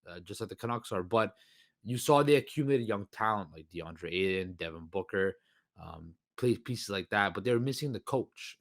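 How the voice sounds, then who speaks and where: A man hosting a solo sports podcast, with a hoarse voice and a rambling delivery full of fillers and restarts. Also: fairly low pitch, fairly bright tone, dry recording, clean studio sound. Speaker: podcast host.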